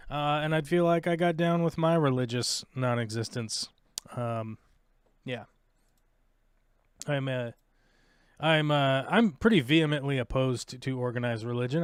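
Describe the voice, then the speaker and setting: A man's voice: mid-range voice, smooth, dry recording, studio quality. An American man hosting a live stream, chatting casually with lots of fillers and jokes. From United States. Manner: rambling, joking, many fillers. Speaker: live show host.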